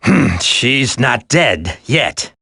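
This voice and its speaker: Smug, drawling, gravelly terrorist villain. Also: male voice, medium pitch, sing-song, very slow, sharp tone, no echo, studio quality, heavily acted. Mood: mocking menace. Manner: drawling, taunting, theatrical. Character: smug sadistic male terrorist villain, mocking and cold. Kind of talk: video game voice acting.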